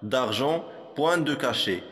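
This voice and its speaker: Male reciter for school poetry: unhurried, measured, instructive delivery, mid pitch, big reverberant hall sound. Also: expressive, fairly bright tone. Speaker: poem reciter for a primary school lesson.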